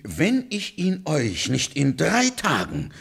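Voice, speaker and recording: Foolish, fawning huntsman: husky and creaky, hugely animated melody, storybook radio drama. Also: a little high, slow pace, heavily acted. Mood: pleading, fearful. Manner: pleading, fawning, theatrical. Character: servile, foolish fairy-tale huntsman. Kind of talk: German children's radio play acting.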